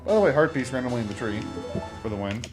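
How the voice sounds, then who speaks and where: A man's voice: mid-range voice, lively intonation, quick pace. Young guy doing a let's play, casual rambly chatter, game music going behind him. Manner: rambling, informal, mock-exasperated asides. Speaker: gamer, Let's Play host. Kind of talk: casual gameplay commentary. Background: video game music.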